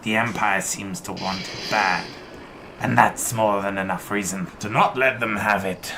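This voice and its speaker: A man playing a threatening, self-righteous rebel in an audio drama, confrontational and forceful. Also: lowish voice, steady tone, measured pace, vocal fry. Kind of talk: scripted audio drama acting. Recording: faint ambient noise.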